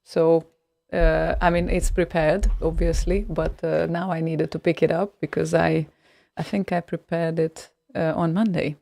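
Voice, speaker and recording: Creaky-voiced chatty woman radio host. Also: sits low, flat delivery, unhurried, dark tone, dry recording. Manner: chatty, rambling with many fillers and restarts. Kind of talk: casual radio chat. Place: radio studio.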